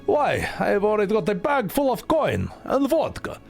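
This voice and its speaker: A man's voice: high voice, wildly swooping pitch, moderate pace, creaky, voice pushed up, heavily acted. A man voicing a haughty, aggrieved townsman in a game, in a Russian accent. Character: proud poor man saving his coin. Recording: faint game music behind.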